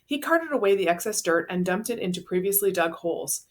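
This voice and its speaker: A woman's voice: on the low side, lively intonation, a little fast. A woman narrating a children's novel, reading it aloud as a storyteller.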